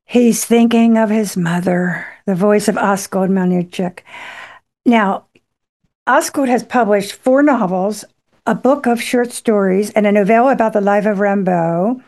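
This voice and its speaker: A woman hosts an interview with a mellow, low-lit tone and a gravelly edge. She introduces her guest and invites him to share his story in an encouraging way. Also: unhurried. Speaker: host. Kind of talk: interview hosting.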